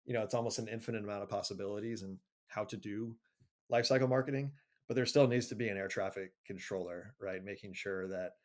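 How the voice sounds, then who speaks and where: Low-pitched man giving considered interview answers. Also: fast talker. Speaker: interview guest, marketing agency executive.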